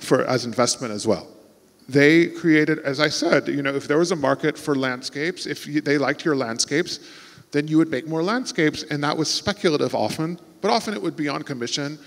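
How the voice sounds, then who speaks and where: American man talking through restarts in a hall. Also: on the high side. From Texas, United States. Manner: thoughtful, rambling, with frequent restarts. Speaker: author on a festival panel. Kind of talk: conversational panel talk. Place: large festival venue before an audience. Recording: long hall reverb.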